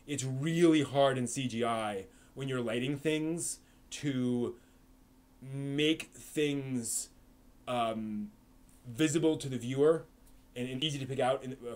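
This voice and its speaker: A man's voice: mid-range voice, a little slow, a little mellow. Male stream host, casual off-the-cuff chat, full of ums and self-corrections, mid-range voice. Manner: rambling, frequent fillers and restarts. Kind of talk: casual live-stream chat answering viewer questions.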